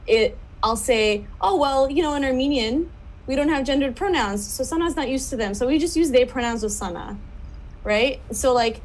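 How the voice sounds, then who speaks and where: Chatty woman, quick with fillers. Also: mid-range voice, rough recording. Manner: quick, chatty, many fillers, laughing asides. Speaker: panelist writer. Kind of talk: panel discussion.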